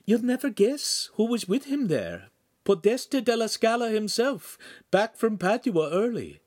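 A man voicing an excitable middle-aged wife of a master craftsman, high and shrill. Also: a little slow, no echo, clean studio sound, heavily acted. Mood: eager.

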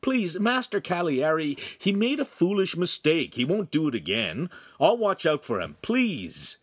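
A man's voice: mid-range voice, big pitch swings, moderate pace, no echo, voice pushed up, natural voice. A man voicing a protective young man in an audiobook, pleading and placating.

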